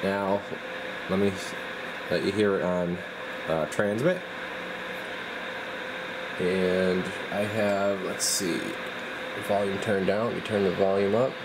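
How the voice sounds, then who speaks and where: A man in a radio workshop, talking casually and haltingly while he tests equipment. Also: sits low, heavy background noise. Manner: relaxed, halting, thinks aloud while working. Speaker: radio repair technician. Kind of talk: casual hands-on demo.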